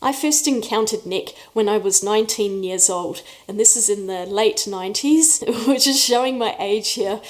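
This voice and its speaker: A woman's voice: mid-range voice, normal speed, hardly any pauses. A woman, chatting casually in a Q&A vlog and laughing often as she tells stories. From New Zealand. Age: 40s. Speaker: art YouTuber. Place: room away from her usual studio.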